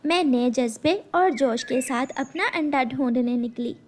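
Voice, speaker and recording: A woman's voice: high-pitched, unhurried, slight background noise. A woman voicing a devoted, anxious mother sparrow telling her story earnestly for a children's cartoon. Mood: plaintive.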